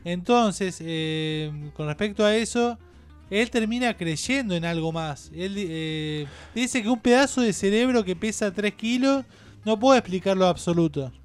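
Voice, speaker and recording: A man with a Rioplatense Spanish accent interviewing informally on radio, halting and circling back over his phrases. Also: sits high, lively intonation, clear, resonant tone, soft background music.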